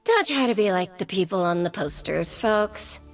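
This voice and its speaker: A woman's voice: mid-range voice, expressive, moderate pace, soft background music, lightly acted. A woman playing a brash, playful young female troublemaker for a video game, with theatrical, cheeky delivery. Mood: wry. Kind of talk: video game character voice lines.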